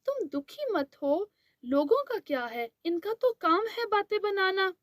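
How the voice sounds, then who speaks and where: A woman voices a loving mother in a cartoon tale, calming her crying daughter. She talks gently, slow and very high. The sound is dry. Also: no echo. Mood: soothing. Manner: soothing.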